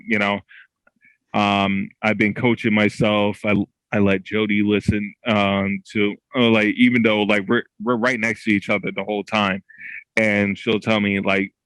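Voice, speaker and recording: Male guest, low pitch, dry close mic, halting delivery with frequent restarts. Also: fairly bright tone, no echo, rough recording. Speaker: podcast guest, comic creator. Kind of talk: casual interview chat.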